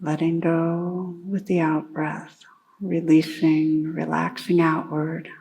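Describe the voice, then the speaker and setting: A woman meditation teacher leading a guided meditation in a calm, unhurried way, gently instructing with long pauses. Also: sits low, gravelly, slight background noise.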